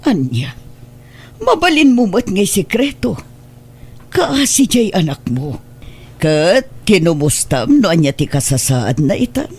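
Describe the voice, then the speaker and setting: A woman with an Ilocano accent playing a caring, reproachful elderly mother in a radio drama, pleading and admonishing in a husky voice. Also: medium pitch, very animated, unhurried, darkish tone.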